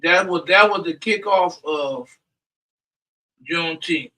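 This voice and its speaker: Co-host, man: loud, emphatic, rambling, high-pitched, set back from the mic. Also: slow, recorded from a distance, poor audio. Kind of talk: casual community talk.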